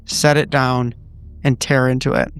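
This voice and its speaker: A man's voice: mid-range voice, little pitch movement, a little slow, clean tone, faint music behind. A man taking part as a player in a tabletop roleplaying game, casually narrating what his character does.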